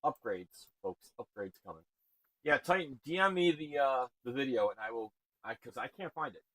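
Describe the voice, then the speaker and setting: A man with a booming voice hosting a live stream, energetic and shouting out viewers. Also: normal speed, no room sound, poor audio. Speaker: stream host.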